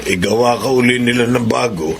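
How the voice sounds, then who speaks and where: A man's voice: mid-range voice, a little fast, gravelly, background noise, lightly acted. A man playing a sickly, guilt-ridden husband. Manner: soft, apologetic and grateful. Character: gentle husband ill with cancer, guilt-ridden. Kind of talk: Tagalog radio drama. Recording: music bed under the voice.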